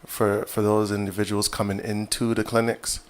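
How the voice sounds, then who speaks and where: A man, a physician guest in an interview, answering in a measured, reflective way with many fillers and restarts. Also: on the low side, flat delivery.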